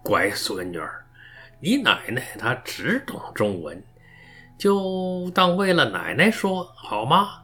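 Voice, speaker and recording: Casting: man playing kindly old grandpa, husky, slow, teasing and instructive, radio drama. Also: on the high side, wildly swooping pitch, sharp tone, faint music behind, full character voice. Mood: coaxing, teasing.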